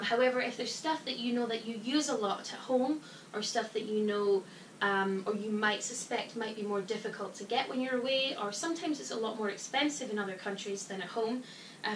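A woman's voice: on the high side, noisy background. Chatty woman packing in an echoey bedroom. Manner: chatty, frequent "um" fillers, explains while packing. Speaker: vlogger giving cruise packing tips. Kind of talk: casual advice vlog. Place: small bedroom, indoors. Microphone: fixed camera from above across the room. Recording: echoey room, voice from a distance.

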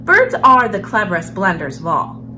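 A young woman hosting a children's story time, reading a picture book aloud in an expressive storyteller's manner. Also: medium pitch, normal speed, slight background noise. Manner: expressive, animated storyteller, with hushed passages. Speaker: host of a children's read-aloud series. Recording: music behind the voice. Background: background music.

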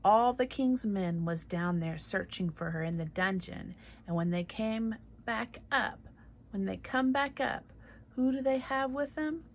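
A woman's voice: medium pitch, a little slow, a little hiss or hum, natural voice. A woman reading a storybook aloud, voicing a tall, brusque castle servant who speaks bluntly and emphatically.

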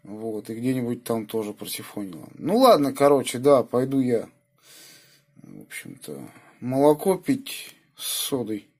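A man with a sore throat from a cold, chatting in a husky, subdued way over FM radio. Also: sing-song, measured pace, a little mellow. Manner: low-key, subdued. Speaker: amateur radio operator. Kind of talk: casual radio chat. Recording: FM two-way radio transmission.

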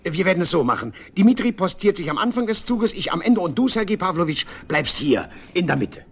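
Self-important organiser for radio drama: higher-pitched, husky, commanding and officious. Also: male voice, sing-song, a little fast, heavily acted. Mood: organising. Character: bossy, self-important local man taking charge. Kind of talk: 1970s East German radio play acting.

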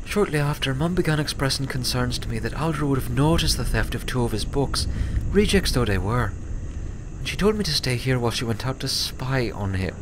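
Grave, determined pony filly, read by a man, storybook tale, wordy and precise, animated intonation, noisy recording. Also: medium pitch, fairly quick, natural voice. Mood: worried, sombre. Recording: clear background noise.

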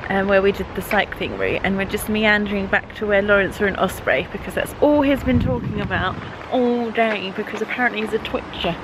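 A woman's voice: mid-range voice, fairly quick, hardly any pauses, noisy background. A young woman in her twenties chatting casually to a handheld camera, giggling often. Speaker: travel vlogger. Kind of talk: casual travel vlog. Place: outdoors on a trail and in a cafe. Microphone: handheld camera at arm's length.

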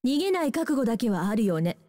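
A woman's voice: fairly high pitch, sing-song, fast talker, warm tone, big-room sound. An actress voicing a cold, haughty, contemptuous female assassin with cutting disdain, in a Japanese fighting game. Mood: cold, mocking.

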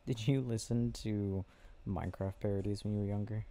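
Young male ASMR boyfriend, intimate and casual, mellow with a gravelly fry, faint noise and clicking behind. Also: lowish voice, fairly even intonation. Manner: intimate, unhurried, murmuring to a listener close by. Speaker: ASMR roleplay performer. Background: clicking and game ambience.

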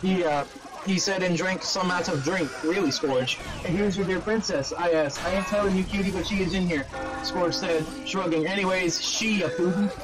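A man doing a mocking dramatic reading of fan fiction, halting and stumbling over words, with casual sweary asides. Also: high voice, over music, rough recording.

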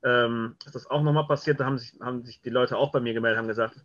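Bright-voiced man on a dull call recording. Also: mid-range voice, fairly even intonation, racing. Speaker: audio drama maker, interview guest. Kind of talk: casual conversational interview. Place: indoors at home, video call.

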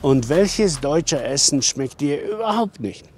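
A man in his fifties with a German accent conducts a casual street interview. His voice is a bit high for a man, and he asks simple questions with encouraging interjections. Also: lively intonation, unhurried, a little mellow, faint music behind. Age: 50s. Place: outdoors on a courtyard path. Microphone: handheld microphone.

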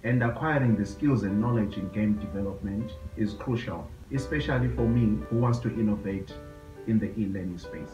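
A man from Zimbabwe, an edtech startup co-founder, giving a measured, formal testimonial to a camera indoors. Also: fairly low pitch, music in the background. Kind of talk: prepared testimonial. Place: indoor room. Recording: roomy, distant, dull sound.

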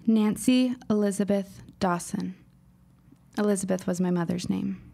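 Woman acting a creaky-voiced, earnest teenage girl. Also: mid-range voice, fairly even intonation, moderate pace, dark tone. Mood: earnest, dramatic. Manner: formal, wordy, dramatic phrasing. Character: precocious, earnest fifteen-year-old girl writer, shaken but insistent. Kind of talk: audio drama acting.

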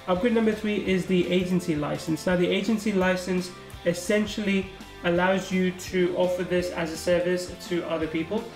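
A man with a high voice and fairly even intonation talks through product pages, casual and thinking aloud. His voice sounds roomy and echoey, with faint guitar music underneath. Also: moderate pace. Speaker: software reviewer. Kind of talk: screen-recorded product walkthrough.

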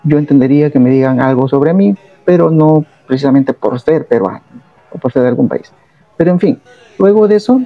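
A Peruvian man in his thirties telling a personal travel story straight to the camera, reflective and steady, often adding little tag questions. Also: on the high side, gravelly, phone-quality sound. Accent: Peruvian Spanish. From Peru. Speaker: vlogger.

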